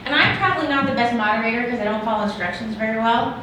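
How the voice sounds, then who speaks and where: A woman's voice: a little high, rapid-fire, pushed and bright, slight background noise. A woman moderating a conference panel in a chatty, joking, self-deprecating way. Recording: echoey hall, far from the mic.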